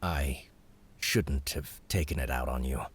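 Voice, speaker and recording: A man's voice: very deep voice, a little slow, husky, full character voice. A man voicing a withdrawn young man who grumbles and answers reluctantly. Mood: quiet, sorry.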